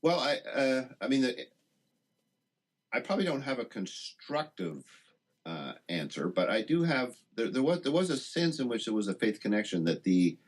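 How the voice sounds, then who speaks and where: A man being interviewed as a guest, speaking reflectively and haltingly, often rephrasing as he searches for words. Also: medium pitch, sing-song, breathy edge. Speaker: interview guest, writer of a satire piece.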